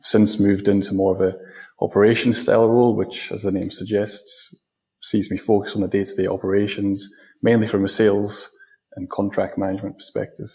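A Scottish man from Scotland, a guest answering interview questions in a plain, measured way. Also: on the low side, level tone, mellow. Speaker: guest, operations manager.

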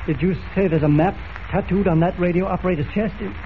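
A man's voice: high-pitched, fairly even intonation, fast. An actor playing a commanding, grave male professor in an old radio adventure drama, speaking formally. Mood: tense. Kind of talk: 1940s radio drama acting. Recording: muffled, noisy old radio recording.

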